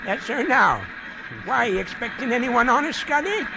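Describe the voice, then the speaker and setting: A man's voice: very high voice, expressive, moderate pace, gravelly, full character voice. A man playing a folksy, easygoing small-town American railroad hand with a drawling way of talking in a 1940s radio drama. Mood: folksy, chatty. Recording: noisy old 1940s radio recording.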